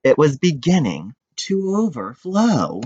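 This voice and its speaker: A man reads a picture book to children in a very lively, swooping voice. He is expressive and informal, and the recording is dry and a little dull. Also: fairly high pitch, slow pace, breathy edge, no echo. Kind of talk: animated children's read-aloud.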